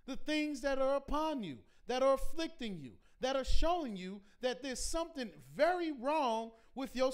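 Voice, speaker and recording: A man teaching a scripture class in a preaching style, reading verses slowly and pressing his points with repeated rhetorical questions. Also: extremely high pitch. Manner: emphatic, repetitive rhetorical questions; slow deliberate scripture reading.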